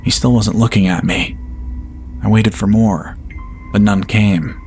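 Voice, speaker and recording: A man reading a first-person horror story as a podcast narrator, in a measured, unhurried way. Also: on the low side, fairly even intonation, darkish tone, soft background music.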